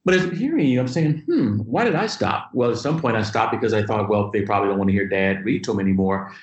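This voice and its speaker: The recording is dull and noticeably roomy. A middle-aged man with a fairly low voice talks conversationally as a podcast host. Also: expressive, quick pace. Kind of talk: conversational interviewing.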